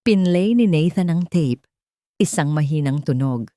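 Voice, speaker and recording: A woman's voice: deep voice, animated, measured pace, dark tone, no echo, studio quality. A woman narrator reading a scripted dramatic story for an audiobook.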